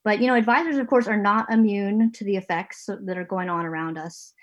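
A woman's voice: mid-range voice, fairly quick. A woman hosting an interview podcast from her home basement, talking earnestly with a few restarts. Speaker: podcast host and managing editor.

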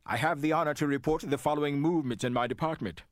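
A man's voice: a little high, quick pace, no echo, close to an everyday voice. Formal Union general played by a man, dry sound. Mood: formal, composed. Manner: formal, official. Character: Union general giving a formal military report. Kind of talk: dramatic reading of historical documents.

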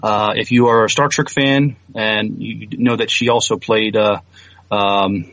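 A man's voice: on the low side, fairly even intonation, measured pace, a bit bright, no room sound. Hesitant guy cohosting a podcast, lots of ums, dull dry mic. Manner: hesitant, many fillers and restarts. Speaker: podcast co-host. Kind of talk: casual podcast chat.

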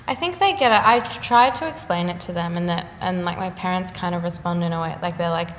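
A young woman, an artist chatting casually in an interview, full of fillers and quick laughs. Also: lowish voice. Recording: echoey room with faint noise.

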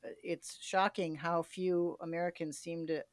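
A woman with a low voice hosts an interview in a measured, conversational way. She recaps and explains points carefully for listeners, and the sound is close and dry, free of room echo. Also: flat delivery. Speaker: podcast host, interviewer.

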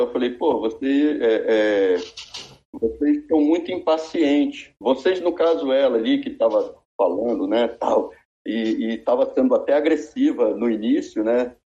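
A man's voice: mid-range voice, warm tone, muffled recording. A man of sixty-one, a guest on a podcast, talking casually and rambling with many restarts and fillers. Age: 60s. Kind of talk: casual chat.

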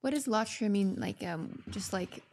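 A woman's voice: mid-range voice, animated, normal speed, a little mellow. A young woman co-hosting a podcast, putting questions in a casual, conversational way. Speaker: podcast co-host.

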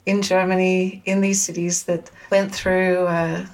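A woman's voice: on the low side, flat delivery, unhurried. Thoughtful Australian woman, slightly distant microphone. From Sydney, Australia. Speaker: guest, media studies academic. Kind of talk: reflective interview conversation.

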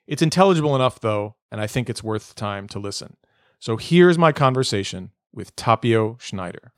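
A man's voice: medium pitch, expressive, normal speed, no echo, clean studio sound. A man hosting a podcast interview from a hotel room, speaking through a Bluetooth headset mic. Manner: hesitant, restarts questions. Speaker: podcast host. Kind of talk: interview conversation.

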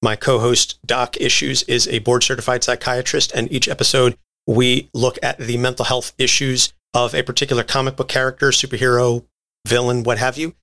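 Bright, creaky-voiced man guesting on a podcast. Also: mid-range voice, little pitch movement, normal speed. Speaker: podcast guest and co-host of another show. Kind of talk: casual show plug.

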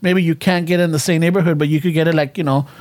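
A man with a fairly high voice in a loose, rambling chat, slipping between English and Spanish, swearing freely and chuckling at his own stories. He talks like he's among old friends. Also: steady tone, fast talker, darkish tone, no echo.

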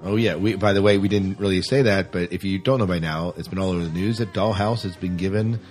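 A man's voice: low voice, fast talker, darkish tone, faint music behind. A man hosting a podcast in a casual, conversational style. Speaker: podcast host. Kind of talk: casual discussion.

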